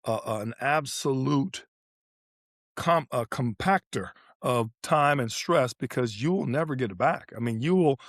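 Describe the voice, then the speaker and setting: A man's voice: medium pitch, no echo, clean studio sound. A man co-hosting a sports podcast, breaking down play in casual talk and repeating phrases for emphasis. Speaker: podcast co-host analysing tennis.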